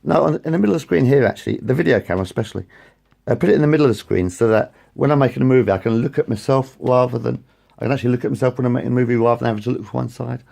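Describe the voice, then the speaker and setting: A man's voice: mid-range voice, racing, gravelly, mellow. A man in his late forties rambling to his phone camera, repeating and correcting himself as he wanders between thoughts. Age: 40s. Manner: repetitive, meandering, self-correcting. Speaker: vlogger. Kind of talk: rambling casual monologue to camera. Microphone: phone front camera.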